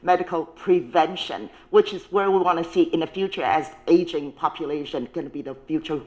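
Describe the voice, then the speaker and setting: A panelist presses a point with urgency, repeating key phrases in non-native English. The voice sits in a middle pitch range. The speaker's tone stays pleading and insistent. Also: fairly quick, darkish tone, low-quality recording. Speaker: policy expert panelist. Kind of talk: roundtable panel discussion.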